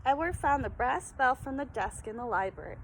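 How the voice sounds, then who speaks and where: A woman's voice: high voice, expressive, brisk. A woman presenting for children in a read-aloud and casual demonstration style, with a noisy recording. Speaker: storytime presenter.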